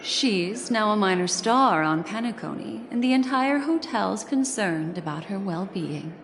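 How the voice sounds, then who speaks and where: A woman voicing an elegant, composed and mysterious game character, courteous and softly teasing in manner. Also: mid-range voice, expressive, unhurried. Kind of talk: video game voice acting. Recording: long reverb, music behind.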